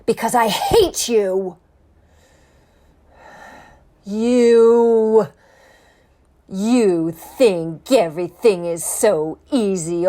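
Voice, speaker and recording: A woman's voice: mid-range voice, exaggerated voice. A woman playing a cold vengeful man. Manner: menacing, mocking, quietly cruel. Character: cold vengeful man walling up his victim.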